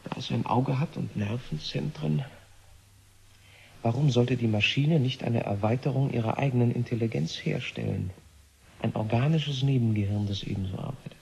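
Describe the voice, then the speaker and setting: An actor playing a stubborn, alarmed physicist in a 1970 German radio play, insistent and argumentative. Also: male voice, medium pitch, moderate pace, vocal fry, a little mellow, close to an everyday voice. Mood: insistent, warning. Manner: insistent, argumentative, rhetorical questions. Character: stubborn, alarmed physicist warning against an alien machine.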